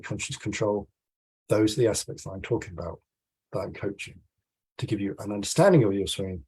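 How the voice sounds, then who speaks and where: A British man in his forties, congested with a cold, gives an informal, explanatory coaching talk on a video call, with frequent pauses and a husky voice. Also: fairly low pitch, a little mellow, low-quality recording. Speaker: swimming coach. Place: small room at home, indoors. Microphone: video call, computer mic.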